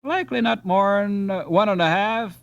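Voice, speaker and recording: A man voicing a gruff, folksy Dutch boat skipper who talks in halting, rustic phrases in a storybook reading. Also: high voice, no room sound, lo-fi sound, pitched above the performer's own voice. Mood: slow, reckoning. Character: gruff pipe-smoking Dutch boat skipper, a father, unhurried.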